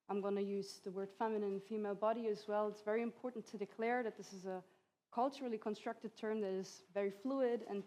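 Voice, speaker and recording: Woman, 30s, native Hungarian, mellow dark voice, conference lecture, laughs lightly. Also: mid-range voice. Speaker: conference speaker. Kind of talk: academic conference talk. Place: conference hall.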